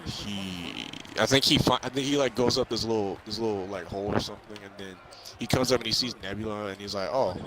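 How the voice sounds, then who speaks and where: A young man casually recapping a movie in an excitable, rambling, hesitant way. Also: fairly low pitch, brisk, poor audio. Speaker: vlogger reviewing a film. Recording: crowd chatter, bouncing basketball sounds behind.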